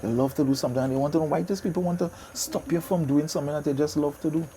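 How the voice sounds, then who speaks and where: A man's voice: a little high, rapid-fire. A man in his fifties with a Trinidadian accent, chatting casually as an interview guest, emphatic and often repeating his phrases. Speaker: interview guest, soca singer and DJ. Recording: steady background noise.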